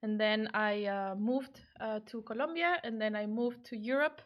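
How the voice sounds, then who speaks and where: A woman's voice: a little high, normal speed, muffled recording. A young woman from Mexico with a Mexican accent, a native Spanish speaker, chatting casually as an interview guest. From Guadalajara, Mexico.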